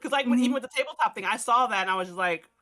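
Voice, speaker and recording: A woman's voice: fairly high pitch, racing, sharp tone. A woman chatting casually in a group, joking and self-deprecating.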